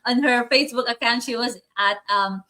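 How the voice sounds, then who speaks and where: A woman's voice: fairly high pitch, normal speed, crowd noise. A woman hosting a casual online talk, often repeating her phrases as she goes. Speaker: host. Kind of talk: casual online talk, introducing topic and guests.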